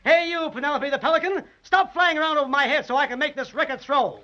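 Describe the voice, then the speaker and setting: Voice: high voice, quick pace, bright, forceful tone. An actor playing a vain show-off seal with grand, pompous airs in 1930s radio drama. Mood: showy. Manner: pompous, grandly self-admiring. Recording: dull vintage 1930s radio recording.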